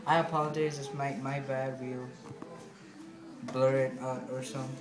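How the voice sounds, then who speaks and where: A man's voice: mid-range voice, little pitch movement, normal speed, roomy sound. A young man rambling and goofing around with a friend in a small bedroom. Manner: goofy, rambling, playfully defensive. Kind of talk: casual chat.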